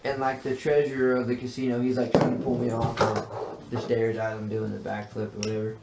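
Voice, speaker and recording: A man's voice: medium pitch, monotone, a little fast. A man casually telling stories to his friends in slangy, sweary bro talk. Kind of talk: casual storytelling among friends. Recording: echoey room, clearly noisy.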